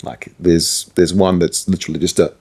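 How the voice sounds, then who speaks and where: A man's voice: sits low, flat delivery, normal speed, a little mellow, breathy edge. A man chatting casually as a guest about his creative work, in a colloquial, self-deprecating way. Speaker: musician, DJ and radio presenter guest. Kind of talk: casual chat about making music.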